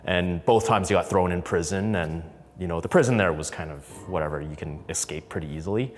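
Podcast guest: man, thirties, low voice, conversational storyteller, long reverb. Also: fairly quick. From Canada, raised in Calgary from infancy. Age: 30s. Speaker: podcast guest, business co-founder. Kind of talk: candid personal storytelling in an interview.

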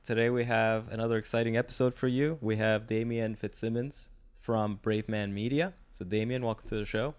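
A man's voice: lowish voice, steady tone, a little fast, clean tone. A man hosting a podcast in an easygoing, chatty way. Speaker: podcast host.

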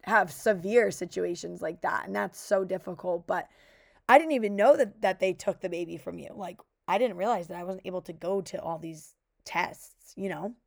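Raspy young woman co-host; casual and chatty, recorded dry and close. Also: lowish voice, moderate pace, no room sound. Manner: chatty, many "like" fillers. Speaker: podcast co-host. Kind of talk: casual chat.

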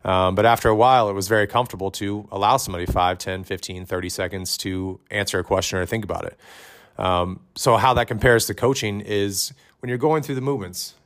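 Male fitness coach, 30s, fairly low and loud voice, casual podcast advice full of fillers. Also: steady tone, fairly quick. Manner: conversational, many fillers, says his voice is loud. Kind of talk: instructional podcast talk.

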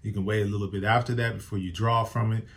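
Male host, fairly low voice, casual and emphatic, prone to restarts. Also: flat delivery, quick pace, a bit bright. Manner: upbeat, emphatic, repeats and corrects himself. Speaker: personal finance show host. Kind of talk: casual advice talk to camera.

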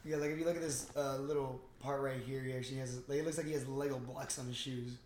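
A man's voice: medium pitch, fairly even intonation, fast talker, darkish tone, echoey room, a little hiss or hum. A young man co-hosting a podcast, chatting casually about movies in an informal, slangy, rambling way. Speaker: podcast co-host.

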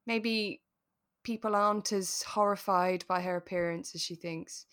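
A British woman with an average-pitched voice talks informally as a podcast co-host. She is chatty and playful, putting expression into whatever she retells. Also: fairly even intonation, unhurried, a bit bright, smooth. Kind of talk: casual chat discussing a radio drama.

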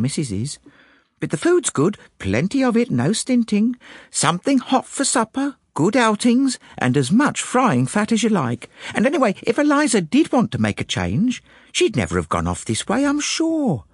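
An excitable young English maid for an audiobook, pitched very high. The voice swoops up and down as this working-class gossip chatters breathlessly and colloquially, in a dry recording. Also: male voice, moderate pace, slightly rough, dry recording, full character voice. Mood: chatty, confiding. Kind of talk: audiobook character voice.

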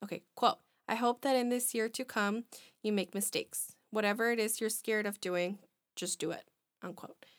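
A woman in her twenties in casual chat on a podcast, talking in a rambling, halting way with many restarts. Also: mid-range voice, steady tone, no echo, clean studio sound. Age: 20s.

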